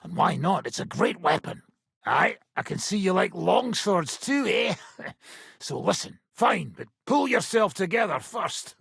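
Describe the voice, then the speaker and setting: A boisterous British sellsword and combat trainer from a video game. His voice is very hoarse and raspy, pitched fairly high for a man, and swings wildly as he swaggers and teases. The recording is dry and close. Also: big pitch swings, unhurried, a bit bright, no echo, exaggerated voice. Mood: instructing, teasing. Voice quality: gravelly, raspy. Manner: swaggering, rough, teasing. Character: boisterous hard-drinking male mercenary swordsman, cocky and crude. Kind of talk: video game character dialogue.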